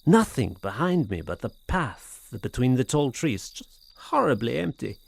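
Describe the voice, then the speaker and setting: An actor playing a tormented, anxious French gentleman in an audio horror drama, speaking formally with hesitant, brooding, theatrical delivery. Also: medium pitch, big pitch swings, a little slow, gravelly, slightly rough, no room sound, exaggerated voice. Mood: haunted brooding. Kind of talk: audio horror drama acting, period literary diction.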